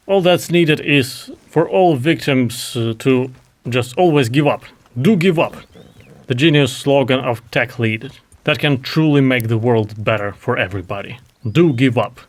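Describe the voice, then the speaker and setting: A man from Ukraine speaks English, his being Russian. He hosts commentary in a heated, sarcastic and mocking way. His voice sits in an ordinary middle pitch. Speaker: commentary host. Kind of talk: reaction commentary.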